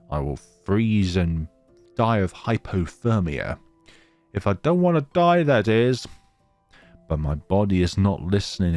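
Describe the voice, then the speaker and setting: A man's voice: on the low side, darkish tone, no echo. A man hosting a gaming let's play, reading story text aloud dramatically and dropping in casual asides. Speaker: gaming channel host.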